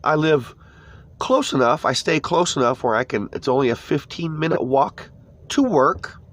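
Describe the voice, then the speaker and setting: A man's voice: fairly high pitch, lively intonation, moderate pace, a bit bright. A laid-back American man with a stuffy nose, chatting casually on a live morning stream from his phone inside an old camper van. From United States.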